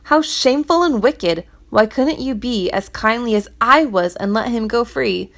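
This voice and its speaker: A kindly old king from a rhyming storybook who speaks slowly and with weight, as a woman reader voices him. He sounds a little lower than her own voice. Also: lively intonation, a bit bright. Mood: stern.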